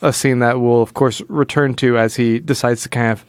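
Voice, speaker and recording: A man's voice: mid-range voice, fairly even intonation, normal speed, smooth, no echo. A man hosting a casual comic book podcast in a wry, jokey way, often acting out imagined dialogue. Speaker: podcast host.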